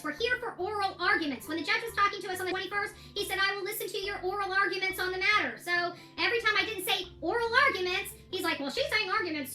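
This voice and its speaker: A high, bright American female voice in an echoey room with some music behind. She talks casually and gives her opinions, circling back to repeat her points for emphasis. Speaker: self-represented litigant recounting her court case. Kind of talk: casual video diary monologue.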